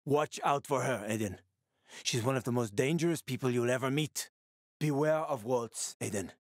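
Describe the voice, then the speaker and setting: A male actor voices a wry former cabbie surviving in a video game world. He is a man with a medium-pitched voice and a colloquial, sweary way of talking. His manner is urgent and streetwise, and the sound is dry and close. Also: normal speed, no room sound, close to an everyday voice. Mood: grave warning. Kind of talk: video game character dialogue.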